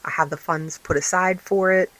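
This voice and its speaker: A woman hosting a casual vlog, her voice raspy and tired, rambling with many fillers and restarts. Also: fairly low pitch, fairly even intonation, normal speed, a bit bright, dry recording. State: tired, recovering from illness. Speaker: vlog host and small craft business owner. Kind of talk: casual chatty vlog update.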